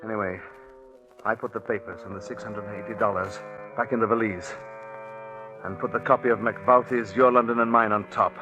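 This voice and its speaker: A man acting a scheming, smooth-talking swindler in a 1940s radio suspense drama, telling his story in a confiding, polite way. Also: fairly low pitch, steady tone, brisk, close to an everyday voice. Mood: tense, confiding. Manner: confiding first-person storytelling, polite in dialogue. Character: scheming, smooth-talking male swindler confessing his long-shot con. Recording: dull 1940s radio recording, music behind.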